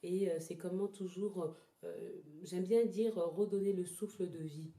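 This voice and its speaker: A woman speaks in a very soft-toned, dark and relaxed voice that sits slightly low. She answers an interviewer reflectively, often pausing and repeating words as she looks for the right phrase. The recording is roomy, with audible echo. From born in Togo, lived in Ghana, moved to France as a child. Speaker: interview guest, traditional therapy practitioner. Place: indoors in a house. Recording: roomy, echoing indoor sound.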